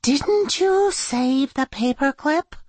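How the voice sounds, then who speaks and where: A woman's voice: high-pitched, animated, slow, darkish tone, no echo, voice pushed up. A woman reading a storybook aloud, voicing a stern schoolteacher who is exasperated and sometimes drops to a tense whisper. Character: stern woman schoolteacher fussing over paperclips.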